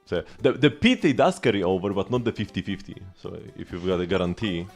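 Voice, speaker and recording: Low-voiced man casually livestreaming a game. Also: expressive, a little fast. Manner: offhand, thinking aloud. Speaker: live game streamer. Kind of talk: casual gaming livestream commentary, chatting with viewers. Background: music.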